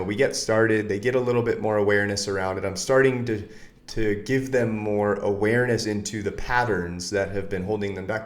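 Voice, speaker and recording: A man who coaches other men, telling his story as an interview guest in an earnest, candid way. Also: fairly low pitch. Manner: earnest, candid, animated bursts.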